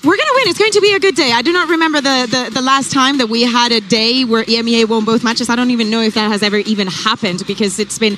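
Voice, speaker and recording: A woman esports analyst bantering on a live broadcast desk, playful and animated, quizzing her co-hosts. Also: fairly high pitch.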